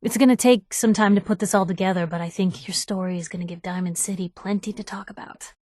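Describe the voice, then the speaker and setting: Game companion role: actress as feisty young female reporter, American accent, mid-range pitch, wry conversational asides, very dry studio sound. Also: fairly even intonation, a little mellow, no room sound, natural voice. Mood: thoughtful. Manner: sardonic, conversational, quick-witted asides. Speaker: video game voice actor. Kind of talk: video game companion dialogue.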